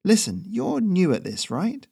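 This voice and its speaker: A man's voice: wildly swooping pitch, a little slow, creaky, mellow, husky, exaggerated voice. A man voicing a cheeky young male courier who rides a messenger goose, talking in a breezy, teasing way. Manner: breezy, teasing, high-spirited.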